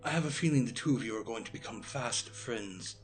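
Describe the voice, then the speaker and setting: An actor playing a genial, sly old wizard who commands an institute, speaking in a theatrical, breezy, courtly way in an audio drama. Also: male voice, mid-range voice, fairly quick, music in the background, lightly acted.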